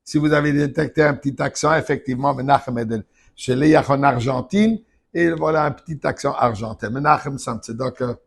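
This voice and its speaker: An older man, a rabbi, giving a Torah lesson, emphatic and at times forceful. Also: mid-range voice, fairly quick, creaky, breathy edge. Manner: emphatic, rising to forceful outbursts.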